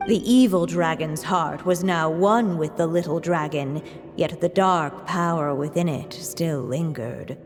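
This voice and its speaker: A grave storybook narrator for a video game. Her voice is low and very measured, trailing long reverb as she tells a fairy tale over music. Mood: solemn.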